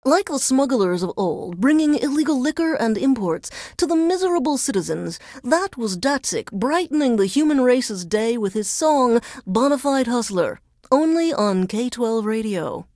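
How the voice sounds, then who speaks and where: A woman's voice: a little high, lively intonation, moderate pace, few pauses, darkish tone, no room sound, clean studio sound, close to an everyday voice. An actress playing a resentful female news reporter forced to work as a radio DJ in a video game, with a formal, dramatic, sardonic newscaster delivery. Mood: theatrical, announcing. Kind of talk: scripted radio DJ links in newsreader delivery.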